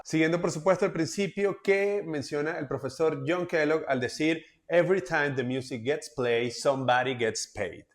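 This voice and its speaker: A young man calmly explaining music licensing as a lesson, clear and methodical with quick asides. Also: a little high. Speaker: music industry educator.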